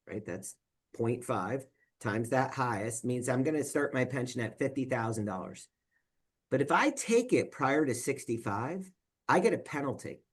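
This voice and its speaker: A man presenting as a financial advisor, explaining step by step in a conversational way and often checking in with "right?". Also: mid-range voice. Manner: walks through worked examples step by step.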